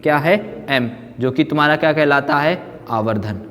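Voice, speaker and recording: A man's voice: a little high, normal speed, a bit bright. A man teaching physics in a didactic way, often asking questions and answering them himself. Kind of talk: classroom lecture, explaining formulas. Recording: echoey hall-like reverb.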